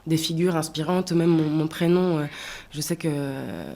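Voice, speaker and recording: A woman in her thirties, from Tunisia, speaks with a slightly low voice. She answers interview questions with care, often pausing to hunt for words and restarting her sentences. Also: steady tone, warm tone. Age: 30s. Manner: thoughtful, many hesitations and restarts. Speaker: singer-songwriter guest.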